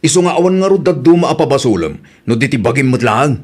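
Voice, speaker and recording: An actor with an Ilocano accent playing a forthright, righteous village husband in a radio comedy drama. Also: male voice, mid-range voice, lively intonation, fast talker, darkish tone, natural voice. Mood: scolding.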